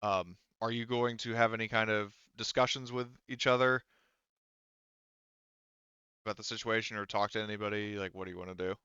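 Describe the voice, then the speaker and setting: A man running a tabletop roleplaying game as game master, feeling his way through the narration with halting, repetitive phrasing. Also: fairly low pitch, steady tone, bright, forceful tone, smooth, no echo, muffled recording. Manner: halting, hesitant phrasing, repeats "you know" and "like".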